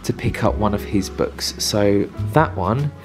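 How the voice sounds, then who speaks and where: A man's voice: fairly low pitch, lively intonation, measured pace. A young man with an English accent talking enthusiastically and casually to a camera held at arm's length while out and about. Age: young adult. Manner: enthusiastic, chatty. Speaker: travel vlogger. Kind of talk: casual vlog chat to camera. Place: outdoors in castle grounds and parkland, some inside a shop. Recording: music playing behind the voice.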